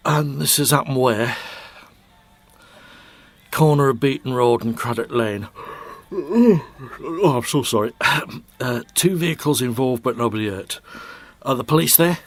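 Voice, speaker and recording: A man's voice: mid-range voice, animated, measured pace. A man acting in an audio drama as an irritable, overworked local radio travel presenter. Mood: businesslike. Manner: colloquial British speech, easily riled.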